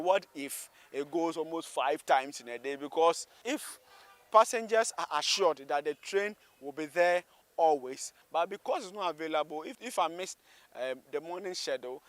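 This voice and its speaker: A Ghanaian man presents on location in a slightly high voice. He talks off the cuff in an emphatic way, circling back to repeat words and phrases so that they land. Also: no echo. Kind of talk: spontaneous on-location commentary to camera. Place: outdoors beside a railway.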